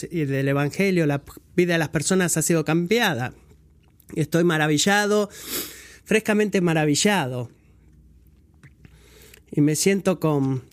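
A man reading scripture aloud and then preaching a sermon in a measured, pausing way, stopping now and then to correct his own slips. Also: fairly high pitch.

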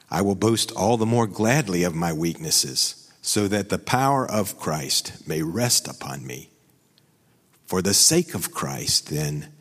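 A man with a fairly low voice reads scripture slowly and solemnly, his phrases sinking at their ends. He sounds a little away from the mic, and a long reverb trails after his words. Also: a bit bright, husky. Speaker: preacher reading scripture. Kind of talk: scripture reading in a sermon.

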